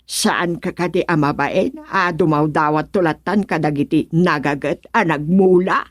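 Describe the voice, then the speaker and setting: A woman's voice: deep voice, moderate pace, dry recording, clean studio sound. A woman acting a chatty, teasing village woman in a radio comedy drama, with an Ilocano accent. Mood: teasing, scolding.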